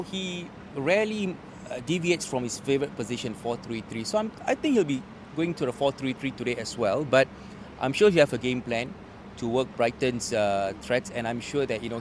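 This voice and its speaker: A man from Singapore, a fan club member, talking football in an animated, confident way with many fillers. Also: medium pitch, slight background noise.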